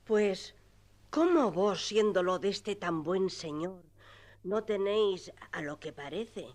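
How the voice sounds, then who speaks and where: Slow-spoken, probing innkeeper's wife. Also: female voice, medium pitch, animated, darkish tone. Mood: inquisitive. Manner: measured, questioning. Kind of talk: radio theatre acting.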